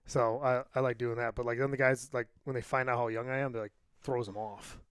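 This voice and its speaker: A man of thirty, co-hosting a casual podcast and telling profane stories in a quick, dry close-mic sound. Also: mid-range voice, level tone, smooth, no room sound. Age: 30s. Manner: profane storytelling with acted quotes. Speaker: podcast co-host.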